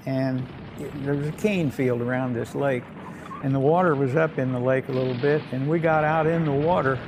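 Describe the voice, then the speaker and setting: An elderly man with an American accent, a war veteran telling his memories in a plain-spoken, halting way. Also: medium pitch, noisy background. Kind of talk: unscripted reminiscence.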